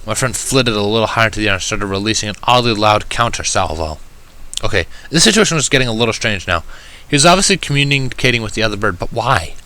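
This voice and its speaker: A man's voice: lowish voice, animated, quick pace, sharp tone. A man reading a first-person story aloud in a wry, conversational way. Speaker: audiobook reader of fan fiction.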